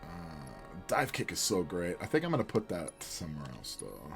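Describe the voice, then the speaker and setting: Booming-voiced man, earnest lecturing musings. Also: huge pitch swings, normal speed, gravelly, music in the background. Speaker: philosophy professor. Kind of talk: casual monologue while playing a video game.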